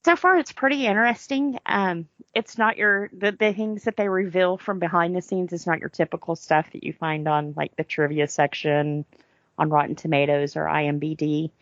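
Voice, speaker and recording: Lower-voiced woman chatting, dull lo-fi sound. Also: fairly even intonation, moderate pace, fairly bright tone, dry recording. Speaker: podcast co-host. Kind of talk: casual chat.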